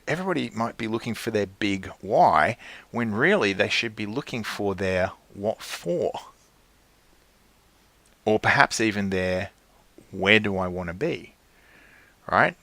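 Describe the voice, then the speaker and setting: A man coaching his clients, earnest and checking in often, with lively jumps in pitch and frequent restarts. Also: dry recording. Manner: earnest, keeps checking in with "right?". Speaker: life coach.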